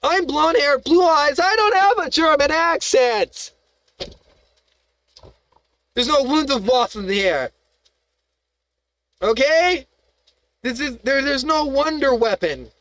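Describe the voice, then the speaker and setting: A man's voice: very high-pitched, animated, slow pace, pauses often, a bit bright, dry recording. A man hosting a live stream, ranting in a heated, profane, rambling way, full of restarts and rhetorical questions.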